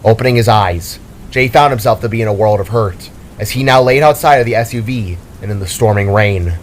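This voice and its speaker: A man's voice: fairly low pitch, brisk, a little hiss or hum. A man reading a scripted, dramatic story as its narrator. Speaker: author and narrator.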